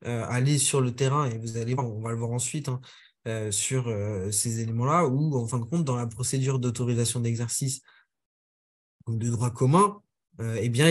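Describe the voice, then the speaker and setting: A man giving a webinar presentation over a video call, speaking haltingly with many filler sounds and restarts. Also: medium pitch, a little mellow.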